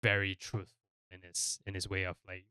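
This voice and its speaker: A man's voice: deep voice, monotone, brisk, sharp tone, clear, resonant tone, no echo, clean studio sound. A man from Malaysia hosting a casual podcast, explaining things in a rambling way and often checking in with "right?" and "you know". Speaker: podcast host.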